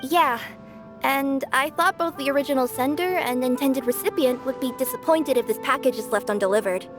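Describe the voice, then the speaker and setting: A woman playing a friendly, conscientious young female courier, gentle and cheerful as she explains things in a video game. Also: high-pitched, brisk, over music, close to an everyday voice. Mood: earnest, explanatory. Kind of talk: English video game voice acting.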